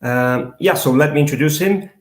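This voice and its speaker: Brazilian male host, halting delivery, roomy echo. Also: a little high. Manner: hesitant, many fillers and restarts. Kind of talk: live online interview.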